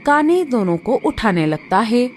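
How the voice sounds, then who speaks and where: A woman narrates a children's story in a fairly high voice. Her pitch moves in a lively way while the pace stays slow. Clear noise and ambience sit behind her.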